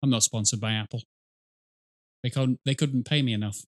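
A man's voice: mid-range voice, fairly even intonation, moderate pace. A middle-aged man giving casual, sarcastic reaction commentary into a close mic as a video host. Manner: sarcastic, dry joking. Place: small indoor streaming room. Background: game cutscene music and sound.

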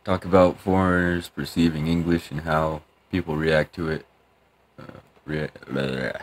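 A man's voice: rumbling low, fairly even intonation, normal speed, slight background noise. A man casually commenting while playing a game, rambling and joking at himself. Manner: rambling, self-deprecating, mumbly. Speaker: gaming youtuber. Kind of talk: casual let's-play commentary.

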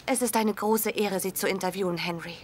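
A woman's voice: mid-range voice, fairly even intonation, brisk, darkish tone, a little hiss or hum, close to an everyday voice. An actress dubbing an earnest young female TV news reporter conducting an interview. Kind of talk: German dub of a sitcom.

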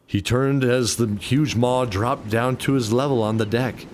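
A man narrating a radio play in a deliberate, descriptive, dramatic storytelling style. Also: medium pitch, slight background noise. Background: music bed.

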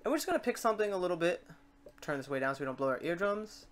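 A tutorial presenter talking informally through software steps and thinking aloud while working. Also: medium pitch, animated, racing. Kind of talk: casual screen-recorded software tutorial.

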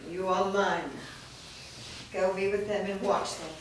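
A woman's voice: mid-range voice, little pitch movement, unhurried, close to an everyday voice. A woman reading a cold, imperious female master. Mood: commanding. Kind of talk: staged script reading. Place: large indoor studio room. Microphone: far camera. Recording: echoey room from far off, background noise.

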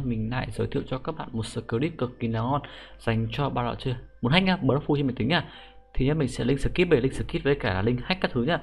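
A young man giving a casual, slangy game tutorial as an excitable YouTuber, talking viewers through a menu. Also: medium pitch. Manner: informal, excitable slang, repeated sentence-end particles. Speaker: gaming YouTuber. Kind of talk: casual screen-recorded game script tutorial. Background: music.

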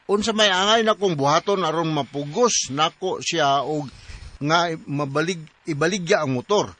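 A man's voice: on the high side, normal speed, a bit bright. A man with a Cebuano Filipino accent, co-hosting a conversational radio advice programme. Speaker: radio advice show co-host, doctor. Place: radio studio.

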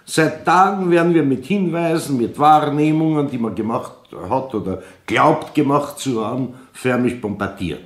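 An actor playing a methodical, courteous Austrian police chief in a radio crime drama, speaking officially and deliberately. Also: male voice, mid-range voice, lightly acted. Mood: formal, patient. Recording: roomy, reverberant radio play sound.

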